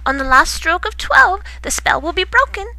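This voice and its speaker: A woman's voice: very high voice, very animated, normal speed, gravelly, dry recording, voice pushed up, full character voice. A woman voicing a kind but bossy old fairy godmother for a storybook reading. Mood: warning. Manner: gentle but bossy, instructing.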